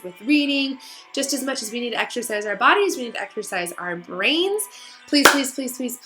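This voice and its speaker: Female schoolteacher for children's read-aloud. Encouraging and emphatic, bright forward tone, slightly high, faint music underneath. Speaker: elementary school teacher reading aloud to students.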